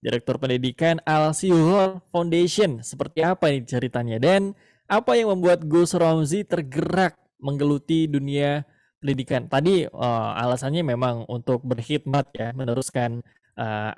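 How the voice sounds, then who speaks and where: A man's voice: medium pitch, moderate pace, a little mellow, dry recording, clean studio sound. A man hosting a radio interview, asking a guest long, loosely built questions with frequent verbal nods.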